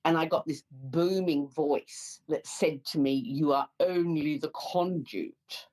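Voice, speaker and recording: An Australian woman, a psychic medium guest, answering interview questions in a calm, measured way over a call. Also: low voice.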